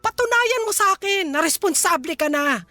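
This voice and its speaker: A woman's voice: very high voice, lively intonation, normal speed, sharp tone, no room sound, full character voice. An actress with a Filipino accent playing a stern, resentful mother of a grown son, scolding and exasperated in radio drama style. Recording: faint music bed.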